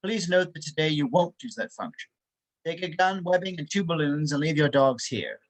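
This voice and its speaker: A man playing a stern, dry-witted spy instructor in an amateur table read, crisp and matter-of-fact. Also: fairly high pitch, moderate pace, natural voice. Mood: brisk, stern.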